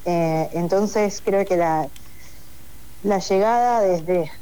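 A woman's voice: fairly low pitch, very slow, warm tone, clean tone. A woman with a Buenos Aires accent, explaining a project in an interview, hesitant, with many fillers and restarts. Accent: Buenos Aires Spanish. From Buenos Aires, Argentina. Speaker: project coordinator. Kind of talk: interview conversation.

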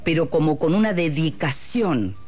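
A man's voice: fairly high pitch, animated, a little mellow. A man interviewing a writer, phrasing his questions carefully and answering back with "claro". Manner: measured, searching phrasing, comes back with "claro".

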